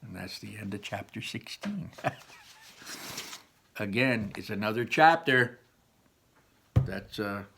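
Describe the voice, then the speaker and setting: A man's voice: on the low side, animated, slow, gravelly, long pauses, background noise. A man reading aloud to children as a storyteller, dramatic and emphatic, with simple explanatory asides.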